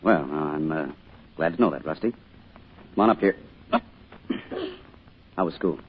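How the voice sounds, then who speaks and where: A man's voice: a bass voice, a little slow, slight background noise. A man playing a loving, wistful small-town father in an old-time radio drama, with a folksy, reflective delivery. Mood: fond. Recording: muffled old radio recording.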